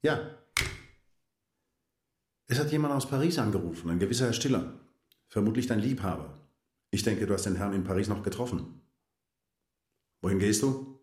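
A man's voice: on the low side, animated, normal speed, long pauses, a little mellow, echoey room, lightly acted. A man playing a composed, aloof husband in a German radio drama, curt and cool, holding back his hurt.

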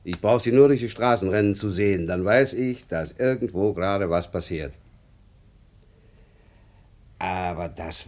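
A low, deep voice of a good-natured policeman in a children's radio play. He talks like a fond uncle, deliberate and kindly as he tells his story. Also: a little mellow, husky, exaggerated voice. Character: fond, good-natured small-town policeman, grown man. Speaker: narrator character.

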